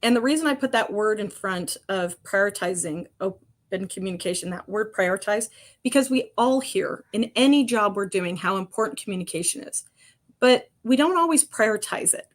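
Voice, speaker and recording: A woman's voice: medium pitch, expressive, moderate pace. A woman guest on a construction podcast, with a commanding voice, speaking emphatically and laughing lightly between points. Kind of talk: podcast interview answers.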